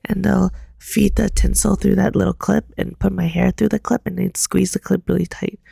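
A young woman co-hosting a casual podcast chat, hoarse as she loses her voice, laid-back and swearing casually. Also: mid-range voice, little pitch movement, normal speed, dark tone, dry recording, studio quality. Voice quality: raspy, gravelly. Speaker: podcast co-host.

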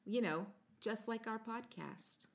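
A woman's voice: fairly high pitch, slow pace, creaky. An American woman co-hosting a podcast, chatting casually, laughing often and slipping into playful mock accents. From United States. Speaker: podcast co-host.

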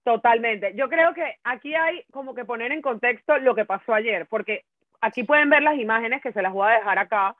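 Brief: expressive woman from Brazil, co-host, dry tinny phone-like sound. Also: on the high side, normal speed, no echo. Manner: indignant, expressive. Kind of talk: casual sports chat.

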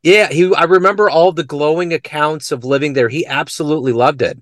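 A man's voice: a little high, steady tone, fairly quick, no room sound, clean studio sound. A male host asking questions in a curious, upbeat conversational way. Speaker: interview host. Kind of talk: conversational interview.

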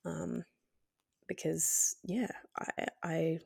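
A woman's voice: deep voice, flat delivery, extremely slow, creaky, darkish tone, husky. Congested, nasal woman hosting a casual podcast. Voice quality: nasal, slightly scratchy. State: congested with a cold, slightly scratchy. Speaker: podcast host. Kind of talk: casual solo podcast talk.

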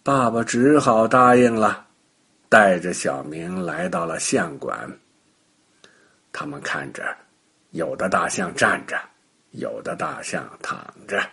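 A man tells a bedtime story to young children. His voice is husky and breathy, and he moves through it slowly with very lively, swooping intonation. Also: on the low side. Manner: animated, unhurried, child-directed.

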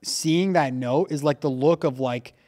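A man with a fairly high-pitched voice hosts a relaxed podcast conversation. He tells stories animatedly, leaning on casual fillers like "like" and "dude" as he builds the tension. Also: measured pace. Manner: animated storyteller, heavy use of "like" and "dude". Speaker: podcast host.

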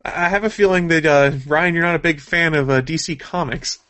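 A man's voice: a little high, brisk, bright, forceful tone. A man speaking as a co-host in casual, unscripted chat, explaining things in a geeky, conversational way. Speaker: co-host of a gaming and comics news show.

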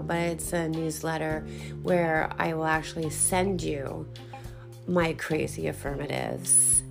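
Slow, gravelly-voiced woman podcaster. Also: low-pitched. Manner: rambling, self-deprecating, laughs often. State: congested, recovering from illness. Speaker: podcast host. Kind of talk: casual solo podcast monologue.

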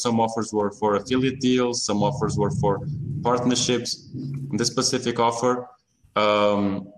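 A man with a fairly low voice, speaking as a guest in a casual interview. His phrasing is informal and stop-and-start, with words often repeated. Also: low-quality recording. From Portugal.

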